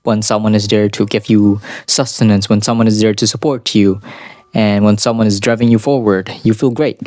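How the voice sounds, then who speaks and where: Creaky-voiced man reviewing anime over music. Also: mid-range voice, a little mellow, no room sound. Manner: informal, profane, dramatic asides. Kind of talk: casual opinionated video essay commentary. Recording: background music under voice.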